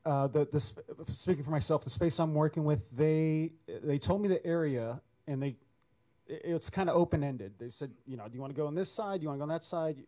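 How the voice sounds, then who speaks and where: A man on an artists' panel, speaking haltingly with many restarts. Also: fairly high pitch, warm tone. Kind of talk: panel discussion answer.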